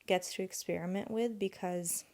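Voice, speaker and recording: A woman's voice: medium pitch, fairly even intonation, normal speed, darkish tone. A young woman whose first language is Urdu, hosting a solo podcast and thinking aloud in an earnest, rambling way. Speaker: podcast host.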